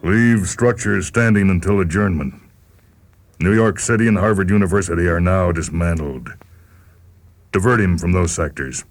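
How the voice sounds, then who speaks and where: A man's voice: deep voice, normal speed, faint music behind, pitched above the performer's own voice. A man voicing a cold, authoritative alien overseer who gives clipped, formal orders in a science fiction story. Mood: stern, commanding.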